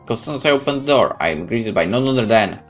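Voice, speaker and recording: A man's voice: medium pitch, fast talker, faint music behind. A man playing a game, reading the story aloud expressively and adding casual asides. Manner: expressive, theatrical. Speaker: let's player.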